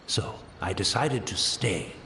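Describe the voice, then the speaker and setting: Humble retainer, animated pitch, echoey. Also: male voice, slow pace, gravelly, slight background noise, exaggerated voice. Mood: resigned, wry. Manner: deferential, formal, gentle. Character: humble blind male tea master, courteous loyal retainer. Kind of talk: video game character acting. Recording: roomy echo, faint game ambience.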